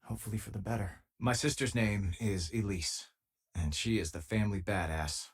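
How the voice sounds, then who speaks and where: An actor playing an easygoing, wry spacefaring miner and loyal companion in a video game, with a husky voice and a casual, dry-humoured way of talking. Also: male voice, lowish voice, moderate pace. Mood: reflective, friendly. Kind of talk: scripted game voice lines, natural conversational delivery.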